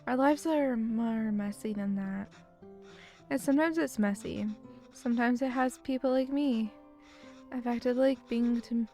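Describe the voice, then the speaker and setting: A woman with a weak voice reading the lines of a remorseful grown son in a story game, in a slow, quiet, confessional way. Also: on the high side. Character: young adult son, guilt-ridden writer.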